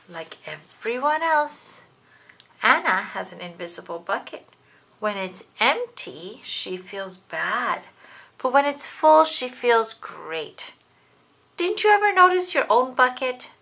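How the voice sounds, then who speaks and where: A storybook grandpa, read by a woman, gently chiding and explaining. The delivery is lively and bright, rising and falling widely. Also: fairly high pitch, slow pace, vocal fry, voice pushed up, full character voice.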